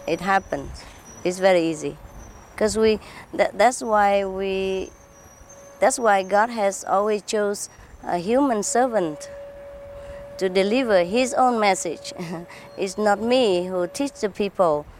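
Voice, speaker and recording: A woman answers interview questions as a spiritual teacher. Her English is halting, full of fillers, repeated words and soft laughs, and her pitch sits mid-range. A faint hum of traffic runs behind her. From Vietnam.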